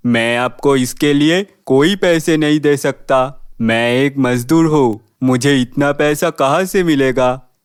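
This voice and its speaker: Man playing a slow-talking, exasperated labourer father. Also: medium pitch, clear, resonant tone, dry recording, studio quality, lightly acted. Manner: admonishing, exasperated. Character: weary poor labourer father, discouraging, protective. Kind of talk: cartoon fairy tale voice acting.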